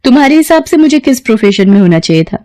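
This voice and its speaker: A woman acts out a female professor in her thirties from an audio story. She questions and chides like a strict but caring teacher. Her voice is raised in pitch and recorded dry. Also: sing-song, fast, darkish tone, no room sound. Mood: questioning. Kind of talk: acted story dialogue.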